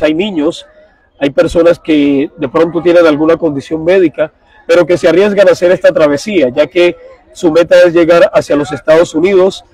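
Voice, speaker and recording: A middle-aged man reporting live for radio from a crowded outdoor dock, speaking in a formal, measured way into a handheld mic. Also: on the high side, a little mellow, rough recording. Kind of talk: live field report and street interviews. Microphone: handheld mic held close.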